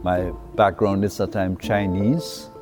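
A priest from Jamaica, giving an earnest, halting talk to a church audience, with pauses and repeated words. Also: male voice, deep voice, fairly even intonation, over music. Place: large cathedral. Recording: long hall reverb in a cathedral.

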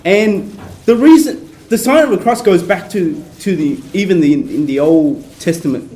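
A soft-spoken man from Vietnam, a Capuchin priest, leading a prayer workshop for a small group in a casual way and leaning on his notes. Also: high voice, lively intonation, moderate pace, low-quality recording. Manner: soft-spoken, relies on notes, chatty asides. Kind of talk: informal prayer workshop talk.

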